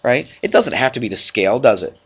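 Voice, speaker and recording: A male math teacher works through problems for his class. He sounds congested and stuffed up. His delivery is informal, full of short check-in questions, at an ordinary middle pitch. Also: very animated, quick pace, a bit bright, slightly rough. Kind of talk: classroom worked-problem lesson.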